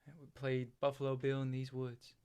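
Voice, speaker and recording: A man's voice: medium pitch, monotone, darkish tone, smooth, close to an everyday voice. A man acting a hesitant farm boy. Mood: wistful. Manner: halting, hesitant, plain-spoken. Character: haunted, self-doubting rural farm boy confiding in his friend. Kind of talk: stage play acting.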